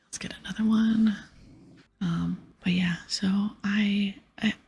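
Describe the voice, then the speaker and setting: A woman whispering in a breathy ASMR style as she casually plays through a game, chatting softly and reading dialogue aloud. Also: medium pitch, fairly even intonation, slow, soft background music. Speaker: host of an ASMR gaming series.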